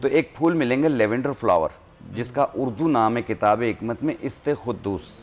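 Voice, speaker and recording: A man, a herbalist guest on a studio talk show, calmly explaining home remedies step by step. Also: mid-range voice, moderate pace. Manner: calm, instructive, gives home remedies step by step.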